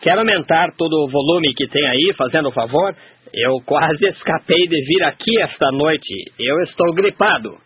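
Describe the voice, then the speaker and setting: A man's voice: fairly high pitch, lively intonation, moderate pace, husky, no echo. A man steadily reading a translated sermon aloud. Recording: tinny phone-like sound, faint hiss.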